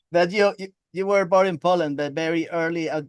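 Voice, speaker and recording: A man's voice: on the high side, clean tone, no room sound. Hesitant male interview host. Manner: hesitant, many fillers. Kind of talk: casual interview.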